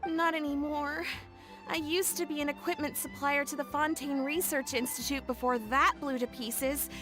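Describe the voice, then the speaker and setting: A woman's voice: extremely high pitch, sharp tone. A woman voicing a dramatic, grandiose young female engineer in a video game. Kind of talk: English video game voice acting.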